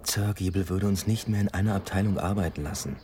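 Man playing a fast-talking, driven police detective. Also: low-pitched, mellow, in a large hall, a little hiss or hum, natural voice. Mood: dry, detached. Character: driven, ambitious male police detective inspector. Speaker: actor. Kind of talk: naturalistic German radio drama dialogue. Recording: faint music bed behind the voice.

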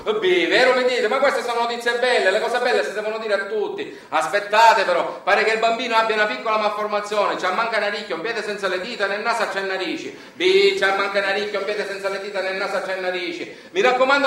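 Man acting out high-pitched, singsong Sicilian village gossips. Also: pushed and bright. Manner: exclamatory, singsong, repetitive gossip. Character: gossiping village neighbours, eager, whispering secrets theatrically. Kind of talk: theatrical monologue. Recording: roomy echo, distant microphone.

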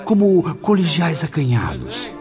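A man's voice: a little high, wildly swooping pitch, unhurried, over music. An actor playing an awed patient recounting his journey in a Brazilian radio drama, literary and dramatic. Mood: reverent.

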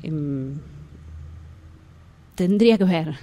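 A woman's voice: deep voice, expressive, warm tone, heavily acted. A woman playing a cautious, hesitant character in an improvised radio theatre exercise. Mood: wary, hesitant. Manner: halting, repeats words while thinking.

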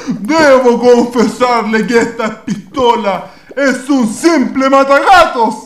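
An actor playing a hearty, genial gentleman in a radio drama. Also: very high voice, heavily acted. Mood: jovial.

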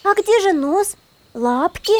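Very high-pitched curious little girl. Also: animated, slow, a little mellow, slight background noise, heavily acted. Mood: curious, eager. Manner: inquisitive, childlike, polite. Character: curious, eager little granddaughter. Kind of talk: children's audio play.

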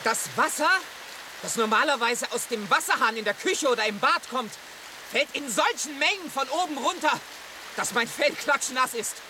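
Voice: sits high, moderate pace, lightly acted. An actor playing a naive, pampered little house cat in a radio drama, wide-eyed, wordy and politely formal. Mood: shivering, awed. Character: naive little pampered house tomcat, timid yet plucky. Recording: noisy with rain and surf.

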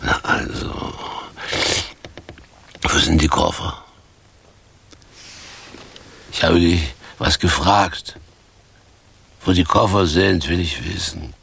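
An actor playing a weary, reproachful homeless former stage actor in a radio play, speaking deliberately and pompously in a husky, gravelly voice. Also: male voice, low-pitched, a bit bright, exaggerated voice. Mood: stern.

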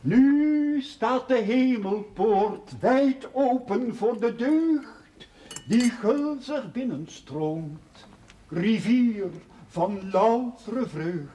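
An earnest amateur poet in a television drama, his high voice swinging widely as he declaims pompous verse. He takes his time, and there is slight noise on the old soundtrack. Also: animated, drawn-out words, mellow, muffled recording, exaggerated voice. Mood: grandiose. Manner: theatrical declaiming, hesitant between recitations. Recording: noisy 1970s television soundtrack.

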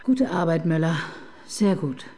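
A wry, sardonic police detective. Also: female voice, on the low side, lively intonation, a little slow, dark tone. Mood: businesslike. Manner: dry, ironic, teasing. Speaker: detective chief inspector. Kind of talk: radio crime drama acting.

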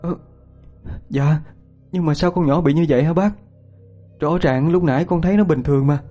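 A man's voice: expressive, a little fast, warm tone, husky, muffled recording, soft background music. A man voicing a farmer's wife in a storytelling, an anxious young mother who stammers and pleads. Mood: tearful, worried. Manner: stammering, pleading, high-strung.

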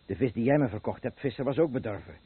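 Sick accusing father, very rough husky voice, bitter, deliberate, radio drama, muffled narrow-band sound. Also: mid-range voice, expressive. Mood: sickly, bitter. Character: poisoned fish buyer, bereaved accusing father. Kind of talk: Dutch radio play acting. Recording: muffled, phone-like old radio recording.

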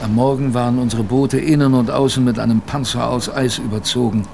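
A man voices a weary expedition sailor narrating a radio drama in the first person. His voice is mid-pitched and creaky, reflective yet dramatic, with faint noise behind it. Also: fairly even intonation, a little fast, lightly acted. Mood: weary, grim.

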